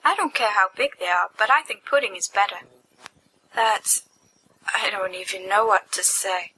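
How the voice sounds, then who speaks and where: A woman's voice: medium pitch, moderate pace, sharp tone, low-quality recording. A woman reading fiction aloud as an amateur, expressively and playfully acting out the dialogue of giddy young men. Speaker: amateur podfic reader. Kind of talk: animated dramatized fiction reading with character voices. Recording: home recording with faint background noise.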